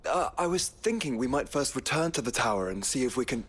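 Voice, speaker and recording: An actor playing a thoughtful, courteous companion in a fantasy game cutscene, speaking formally and tentatively in a husky voice. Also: male voice, mid-range voice, lively intonation, a little fast. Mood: pensive. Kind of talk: video game cutscene acting.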